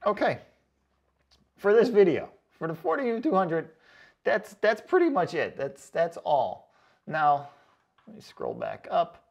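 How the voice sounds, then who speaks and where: Slightly high-voiced man, chatty and joking. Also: wildly swooping pitch, slow, vocal fry, long pauses. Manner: chatty, joking, sarcastic asides. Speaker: online boot reviewer and video host. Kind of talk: casual vlog reading out viewer comments.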